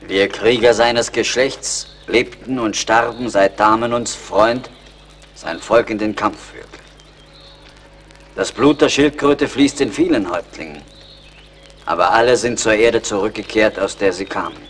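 A proud, deep-voiced young warrior. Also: male voice, a bit bright. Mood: proud, calm. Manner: solemn, measured declamation. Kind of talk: German radio play acting. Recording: faint background noise.

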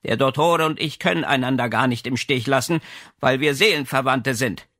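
A man's voice: medium pitch, normal speed, bright, forceful tone, dry recording, clean studio sound, voice pushed up. A man voicing a haughty, imperious lady with a condescending, commanding way of speaking in an audiobook. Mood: scornful. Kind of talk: audiobook character dialogue.

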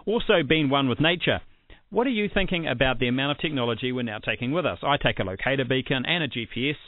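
A man's voice: medium pitch, animated, quick pace, dry recording. A man with a New Zealand accent hosting a hunting show in a casual, conversational way, posing questions to listeners and guests. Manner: conversational, asks many questions. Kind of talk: casual spoken commentary and interviewing. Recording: dull lo-fi sound.

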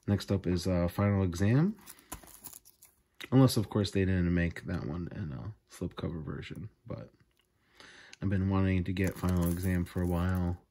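A man's voice: deep voice, normal speed, dark tone, a little hiss or hum. A man hosting a casual unboxing vlog, enthusiastic and full of small fillers as he goes through his purchases. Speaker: video host. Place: indoors at a table, off camera.

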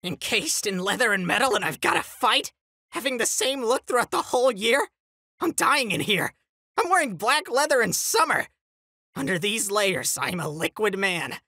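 Casting: excitable male cartoon superhero DJ, high and piercing, theatrical, wildly animated delivery. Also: normal speed, no room sound, clean studio sound, exaggerated voice. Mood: melodramatic, dismayed. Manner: theatrical, exclamatory, whiny when upset. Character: excitable loud male superhero radio DJ, melodramatic.

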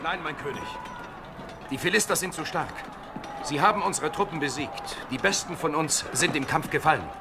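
An actor playing a grim army commander in a Bible radio play, sober and military in delivery. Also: male voice, fairly high pitch, normal speed, over music, lightly acted.

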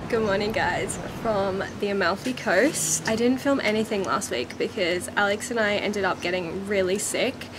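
A young Australian woman talks casually to camera as a travel vlogger. Her medium-pitched voice has a slight rasp. There is clear background noise around her, picked up on a camera mic. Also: moderate pace, few pauses. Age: 20s. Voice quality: slightly raspy. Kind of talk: casual vlog chat to camera. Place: holiday apartment balcony, kitchen and seaside terrace.

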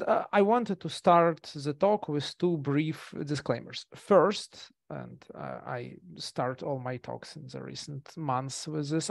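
Man, gravelly voice, dry close headset sound, hesitant lecturing. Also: mid-range voice, dry recording. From Russia. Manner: hesitant, many fillers and restarts. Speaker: conference presenter. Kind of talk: academic presentation over video call. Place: small room indoors. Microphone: gaming headset.

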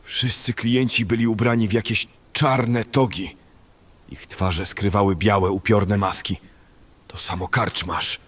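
A man acting a selfish, panicky bandit in a fantasy audio drama, with an excitable, colloquial way of speaking. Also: lowish voice, measured pace, breathy edge. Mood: hushed, tense storytelling.

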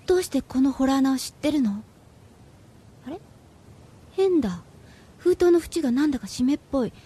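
A woman's voice: sits high, dark tone, breathy edge, exaggerated voice. A woman acting a curious, outspoken teenage schoolgirl in a radio drama.

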